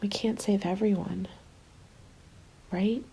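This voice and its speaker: A woman as a husky, hushed, weary apocalypse survivor. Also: medium pitch, fairly even intonation, slow pace, full character voice. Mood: frustrated, defensive. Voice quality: soft, breathy, husky. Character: weary, stir-crazy woman survivor of a zombie winter. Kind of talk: soft-spoken ASMR roleplay monologue.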